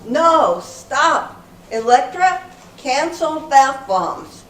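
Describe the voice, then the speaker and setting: A woman's voice: high voice, big pitch swings, very slow, fairly bright tone, exaggerated voice. A woman playing an eager, easily flustered group leader in amateur readers theater, speaking earnestly. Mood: flustered, insistent. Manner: earnest, presenting. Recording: echoey room from far off, faint noise.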